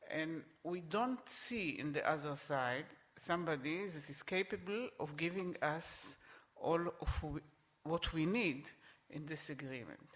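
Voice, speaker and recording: A middle-aged man speaking on a conference panel, emphatic and repeating his points, with halting restarts. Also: a little high, fairly bright tone. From Israel.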